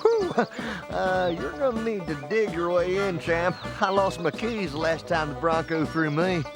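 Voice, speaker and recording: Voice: mid-range voice, lively intonation, a little slow. An actor doing a folksy rodeo cowboy barker. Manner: folksy drawl, calls everyone champ. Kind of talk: cartoon game cutscene acting. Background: music.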